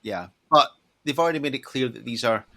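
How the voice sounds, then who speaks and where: Bright, forceful man bantering. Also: mid-range voice, a little fast, vocal fry. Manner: irreverent, sweary. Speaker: film podcast panelist. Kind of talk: casual banter.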